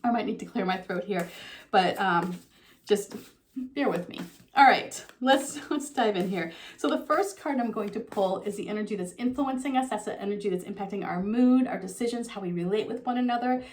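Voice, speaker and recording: A middle-aged woman giving a relaxed, talking tarot reading to her viewers, her voice a little froggy from a slight sore throat, with frequent ums and you-knows. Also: mid-range voice, moderate pace, a little hiss or hum. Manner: soft conversational, with frequent um and you know. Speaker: tarot reader.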